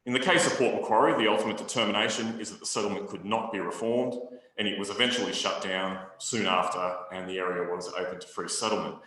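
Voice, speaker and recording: A man giving a history talk to an audience, in a measured academic way with frequent restarts. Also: lowish voice, fairly even intonation, pushed and bright. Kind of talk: lecture with audience Q&A. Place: hall with audience. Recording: very echoey room recording.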